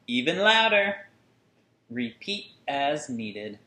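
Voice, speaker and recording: A man in his thirties reading a picture book aloud to children with deliberate, singsong phrasing and dramatic emphasis. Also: medium pitch, big pitch swings, sharp tone. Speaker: children's story reader. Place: small furnished room at home, indoors. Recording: roomy indoor echo.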